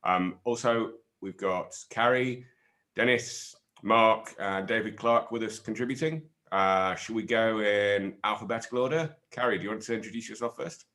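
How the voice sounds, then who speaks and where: A man leading an online panel discussion, explaining things in a measured way with the odd filler. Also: lowish voice, sharp tone. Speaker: panel lead presenter.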